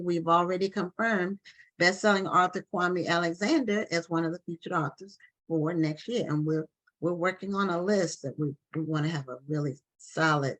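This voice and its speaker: A woman's voice: fairly low pitch, poor audio. A woman hosting a live online book show, chatty and loose with restarts and fillers, talking over a video call. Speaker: talk show host.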